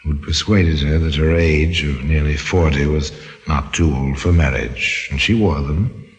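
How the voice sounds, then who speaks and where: A man hosting and narrating an old-time radio mystery, wry and deliberate, with a macabre relish. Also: very deep voice, highs cut off. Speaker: narrator and host.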